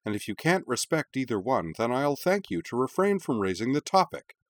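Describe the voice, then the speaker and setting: A man's voice: sits low, animated, normal speed. A man voicing an exasperated young woman in an audiobook, clipped, firm and dryly sarcastic. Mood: indignant. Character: young woman, sharp-tongued, exasperated with her mother. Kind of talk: audiobook character voice.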